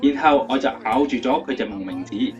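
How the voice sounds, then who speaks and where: A man's voice: mid-range voice, brisk, distant mic, lightly acted. Radio drama: male actor as upbeat, playful young lover and speaker, dull recording over guitar. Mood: tender, moved. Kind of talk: scripted radio drama interview. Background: guitar music.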